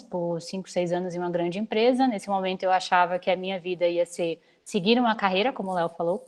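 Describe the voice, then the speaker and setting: A woman in her twenties from Salvador, a startup founder giving an energetic, practical guest talk over a video call. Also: medium pitch. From Salvador, Bahia, Brazil. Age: 20s. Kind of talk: online lecture with slides.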